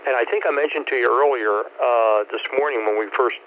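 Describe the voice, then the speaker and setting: A man's voice: medium pitch, fairly quick. A man in his seventies chatting casually over amateur radio as a net host, polite, appreciative and rambling. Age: 70s. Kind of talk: casual ham radio chat. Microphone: two-way radio. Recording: noisy, narrow-band ham radio transmission.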